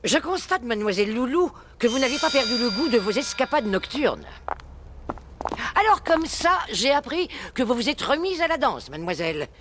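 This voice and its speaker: A woman's voice: a little high, very animated, moderate pace, over music. A woman playing a stern guardian in a French cartoon, sarcastic, formal and menacing. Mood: cold menace. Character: stern, mocking guardian who forbids a girl dancing. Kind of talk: dubbed French cartoon villain acting.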